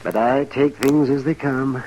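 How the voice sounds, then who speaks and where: A man acting a folksy, wry older frontier town doctor in a 1950s radio western, with animated talk. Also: medium pitch, unhurried, a little hiss or hum, close to an everyday voice, rough recording. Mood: wry, easygoing. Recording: old-time radio broadcast recording.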